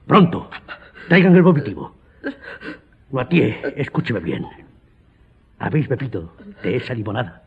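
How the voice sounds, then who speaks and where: An actor playing a grave, commanding doctor in a radio drama, questioning sternly in a raspy, breathy voice. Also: male voice, medium pitch, very animated, a little slow, long pauses, a little hiss or hum. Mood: urgent, commanding. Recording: dull lo-fi sound.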